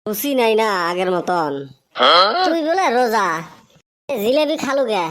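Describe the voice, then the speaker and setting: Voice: medium pitch, sing-song, measured pace. A performer voicing a cheeky, complaining village youth in a comic cartoon skit. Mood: bantering.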